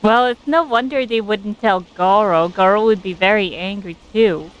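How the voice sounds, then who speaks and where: A woman game streamer giving casual playthrough commentary, halting and repeating words as she goes. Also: mid-range voice, dull audio, slight background noise.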